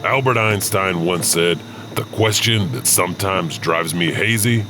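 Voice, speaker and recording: A man's voice: low-pitched, unhurried, noisy background. A man narrating spooky scripted stories as host, with a theatrical delivery.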